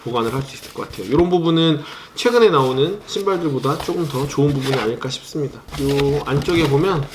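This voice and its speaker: Man, young adult, sneaker reviewer, measured and explanatory, hedges opinions, slightly high pitch. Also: slight background noise. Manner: calm, explanatory, hedging opinions; handling items while describing them. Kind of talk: product review vlog.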